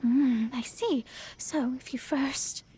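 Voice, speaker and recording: A woman's voice: sits high, takes it slowly, slightly rough, heavily acted. A woman voicing a proud, haughty young female astrologist in a video game who stammers when cornered. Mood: composed. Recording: game voice track over soft music.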